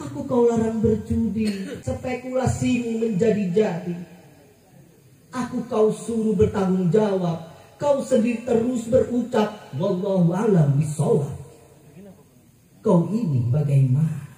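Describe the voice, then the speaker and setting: A man's voice: high-pitched, unhurried. A man reciting a protest poem with dramatic, declamatory delivery at a village stage performance. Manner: declamatory, rising to shouts. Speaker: poetry reader. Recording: echoey stage PA from far off.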